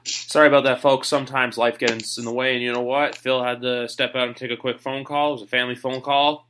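A man's voice: mid-range voice, flat delivery, fairly quick, fairly bright tone, slight background noise. A man hosting a sports podcast in casual chat, rambling and opinionated. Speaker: podcast host.

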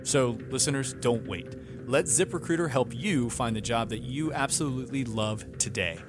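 A man's voice: mid-range voice, moderate pace. A man, the show's director, reading a scripted sponsor message to listeners. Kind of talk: scripted host-read ad. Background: music.

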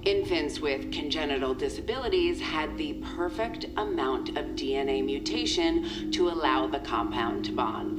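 An actress playing a weary, remorseful senior agent recording a halting, wry confession in an audio drama. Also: female voice, low voice. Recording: roomy tape recording under music.

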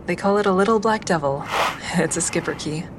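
A woman playing a calm, candid crisis line counselor in an audio drama, speaking in a measured, consoling way. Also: on the low side, noisy background, lightly acted. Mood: gentle, sympathetic. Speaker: crisis line counselor and inner-monologue narrator. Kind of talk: audio drama acting with first-person asides.

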